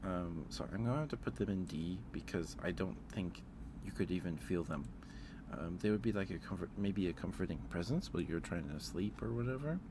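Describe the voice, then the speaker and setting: A man with a low voice talks casually, as on an ASMR livestream. He thinks aloud, pausing and hedging his way through his thoughts. Clear ambient noise sits behind him. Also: lively intonation. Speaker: streamer.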